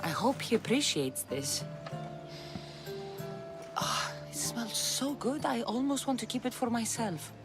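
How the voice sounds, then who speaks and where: An actress playing a confident, wry adventurer in a video game, speaking in a playful, self-assured way. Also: female voice, fairly high pitch, very animated, a little slow, pauses often, music in the background. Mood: amused, playful. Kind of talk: video game character acting.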